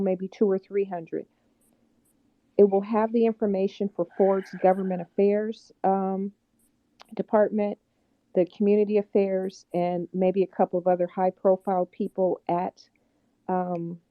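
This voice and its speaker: A woman's voice: medium pitch, dry recording, narrow, tinny audio. A woman rallying listeners in an online audio chat room, upbeat and direct as she lays out a plan. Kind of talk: call to action in a live audio chat.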